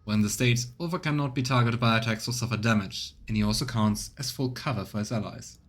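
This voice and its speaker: A man's voice: medium pitch, fast. A man streaming a video game, commenting casually and reading the game's narration aloud. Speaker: let's play streamer. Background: game music.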